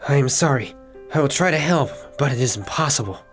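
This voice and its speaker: A woman voicing a gentle, troubled young male alien warrior with a hesitant, emotional manner in a superhero audio drama. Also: extremely low pitch, expressive, brisk, faint music behind. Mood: sorrowful doubt.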